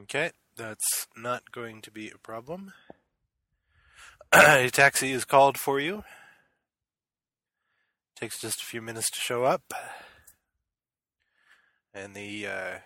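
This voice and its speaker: A man narrating a tabletop game as game master, calmly describing scenes and calling for dice rolls. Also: mid-range voice, no echo.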